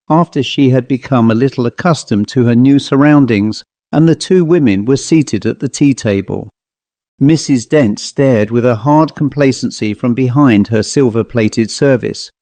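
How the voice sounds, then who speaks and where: A man's voice: medium pitch, warm tone, dry recording. A man reading a literary ghost story aloud as a scripted audiobook narrator.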